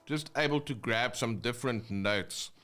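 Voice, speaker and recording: A man with a South African accent teaching guitar techniques, explaining step by step in a relaxed way between demonstrations. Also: medium pitch, a little slow. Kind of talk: instructional talk with guitar demonstrations. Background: his own guitar playing between phrases.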